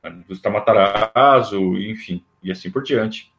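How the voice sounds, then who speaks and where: A man's voice: lowish voice, measured pace, fairly bright tone, muffled recording. Rambling man. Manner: rambling, many fillers and restarts. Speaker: livestream host. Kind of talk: casual monologue on club history.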